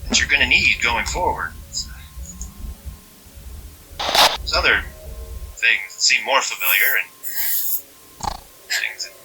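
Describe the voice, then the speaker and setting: A man playing an otherworldly trickster in a gentle dead husband's guise, folksy and hesitant with long pauses, in an audio drama. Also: medium pitch, lively intonation, over music, rough recording. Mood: searching, patient. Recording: faint background noise.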